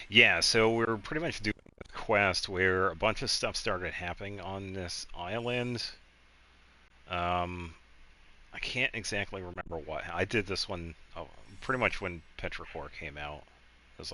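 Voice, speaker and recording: A man giving casual video game commentary as he guides another player, explaining things in a halting, meandering way. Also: sits low, pushed and bright, low-quality recording.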